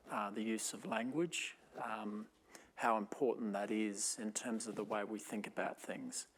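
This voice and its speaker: Soft-voiced man, hesitant panelist. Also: lowish voice. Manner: hesitant, many fillers. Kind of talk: panel discussion.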